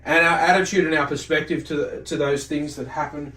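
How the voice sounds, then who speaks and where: A man giving an informal religious lesson, speaking in a halting, deliberate way and often correcting himself as he explains. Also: on the high side, steady tone, bright, forceful tone. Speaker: religious teacher. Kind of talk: informal religious lecture, reading and explaining from a book. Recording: roomy echo, distant microphone.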